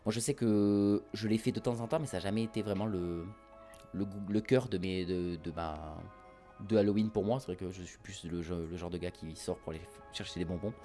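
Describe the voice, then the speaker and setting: A man's voice: sits low, fairly even intonation, fairly quick, mellow, faint music behind. A man hosting a casual film podcast among friends, chatty and teasing. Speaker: podcast host.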